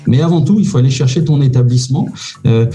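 A man giving casual advice as an immigration consultant, pausing often with fillers. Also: medium pitch, dark tone, faint music behind. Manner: hesitant, many "euh" fillers, informal.

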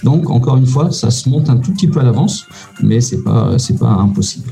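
A man giving casual advice as an immigration consultant, pausing often with fillers. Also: medium pitch, expressive, vocal fry, warm tone, soft background music. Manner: hesitant, many "euh" fillers, informal.

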